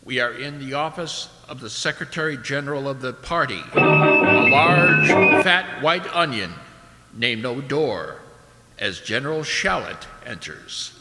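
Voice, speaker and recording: A man's voice: medium pitch, animated, measured pace, music in the background. A man playing a formal announcer, reading scripted scene-setting narration for a radio comedy. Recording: hall-like reverberant stage sound.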